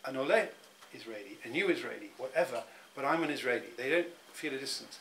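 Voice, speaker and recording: A man's voice: medium pitch, animated, fast, a bit bright, a little hiss or hum. A middle-aged man, speaking in an interview and often restarting his words as he thinks. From England. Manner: thoughtful, many restarts and repeated words. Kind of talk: reflective personal interview.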